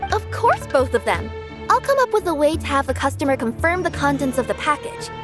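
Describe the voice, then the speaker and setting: A woman's voice: high voice, big pitch swings, brisk. An actress voicing an earnest, dutiful young courier girl with two cat tails, in a game cutscene. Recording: game cutscene with clear music.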